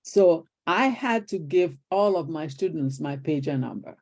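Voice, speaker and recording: Older Nigerian woman, guest storyteller, rough-edged mellow tone. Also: low-pitched, a little slow. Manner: animated storyteller acting out quoted exchanges. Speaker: guest, retired teacher. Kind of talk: conversational interview storytelling.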